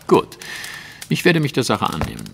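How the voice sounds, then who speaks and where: An actor playing a self-assured gentleman detective in an audio drama, speaking formally and precisely. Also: mid-range voice, wildly swooping pitch, normal speed, vocal fry, long reverb tail, a little hiss or hum. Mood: composed, assured. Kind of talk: German audio drama acting.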